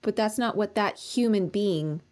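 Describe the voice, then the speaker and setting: A woman's voice: mid-range voice, measured pace. A woman acupuncturist who practices in Colorado, a guest speaking earnestly in long run-on stretches in a casual professional conversation.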